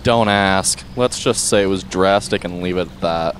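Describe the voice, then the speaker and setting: A man playing a brash, gruff half-dog demon who is secretly contrite, in an amateur audio drama. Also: sits low, moderate pace, a little hiss or hum, close to an everyday voice. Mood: evasive.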